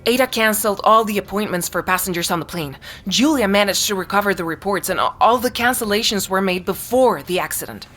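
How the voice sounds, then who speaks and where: A woman's voice: medium pitch, fairly quick, hardly any pauses, fairly bright tone, lightly acted. A woman playing an anxious, stubborn, suspicious character in a naturalistic audio drama, earnest and easily stung. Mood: insistent, uneasy.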